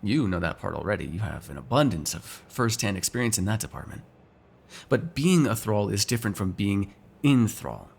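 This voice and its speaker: A man's voice: lowish voice, very animated, moderate pace, creaky, husky, full character voice. A man playing a sardonic, teasing, foul-mouthed vampire in an ASMR roleplay, talking casually to one listener. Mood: explaining, sardonic. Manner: casual, teasing, sarcastic, swears freely.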